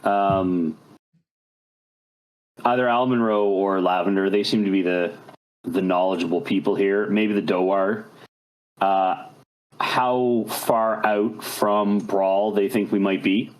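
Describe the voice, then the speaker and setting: A man's voice: sits low, fairly even intonation, slow, pauses often, rough recording. A man chatting casually as a player in a tabletop role-playing game.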